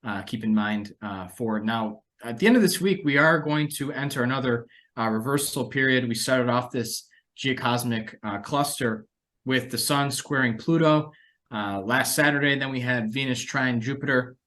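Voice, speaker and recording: A man's voice: medium pitch, moderate pace, fairly bright tone. A man, hosting a conversational discussion of financial markets as an analyst.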